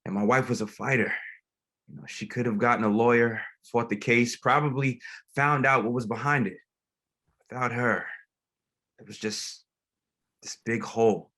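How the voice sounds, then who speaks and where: An actor playing a gentle, grieving pastor in a dramatic table read, speaking plainly and haltingly. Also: male voice, mid-range voice, steady tone. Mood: grieving, subdued. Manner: reflective, plain-spoken, halting. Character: grieving, gentle, reflective male pastor, widowed father.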